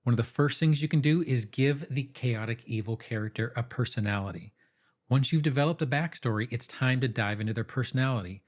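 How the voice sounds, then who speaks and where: Male explainer host, mid-pitched, measured and instructive, reading prepared material with conversational asides. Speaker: host of tabletop roleplaying advice videos.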